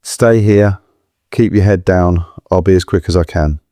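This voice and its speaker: A low-pitched man as a kindly, shaken reluctant rescuer in an audio drama. He mutters hesitantly and offers reassurance, in a dry, close recording. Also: measured pace, mellow, no room sound. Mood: resolved, reassuring.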